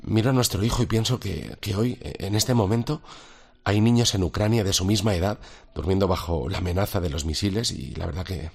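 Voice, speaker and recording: A man playing an affectionate, teasing father with a husky voice, in natural radio drama dialogue. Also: low voice, a little fast, full character voice. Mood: troubled, tender. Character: affectionate, teasing young father, troubled by the world.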